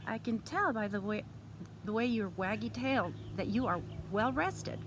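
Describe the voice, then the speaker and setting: A woman's voice: lively intonation, measured pace, natural voice. A woman voicing a cheery, grandly enthusiastic little bird teacher in a theatrical read-aloud of a children's storybook. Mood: cheerful, reassuring. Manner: theatrical, exclaiming, chirpy. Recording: noisy room with background rumble.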